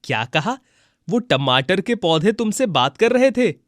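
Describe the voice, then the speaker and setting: A man's voice: high-pitched, very animated, measured pace, fairly bright tone, no room sound, studio quality, exaggerated voice. A man playing a humble, good-hearted village husband in a children's cartoon story, speaking earnestly. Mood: puzzled.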